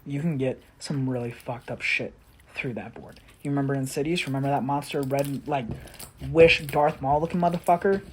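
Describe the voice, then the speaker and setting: A woman's voice: extremely low pitch, slight background noise. A woman telling a casual first-person story in a blunt, sweary, exasperated manner, acting out the dialogue.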